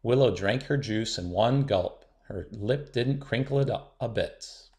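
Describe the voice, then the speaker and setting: Gentle low-voiced man reading a storybook. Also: a little slow. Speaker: storybook reader. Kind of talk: reading a children's picture book aloud.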